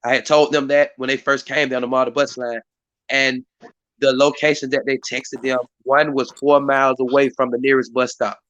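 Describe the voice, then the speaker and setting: A hoarse man hosts a community live stream in a rambling way, full of fillers and restarts. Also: medium pitch, level tone, moderate pace, a bit bright, no echo, lo-fi sound. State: hoarse, voice partly lost. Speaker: community activist hosting a live stream meeting. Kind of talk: casual hosted talk. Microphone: live stream mic.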